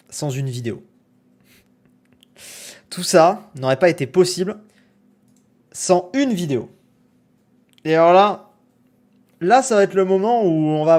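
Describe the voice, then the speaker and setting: A young man reacting to his own old video on a live stream, animated, exclamatory and self-mocking. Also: fairly high pitch.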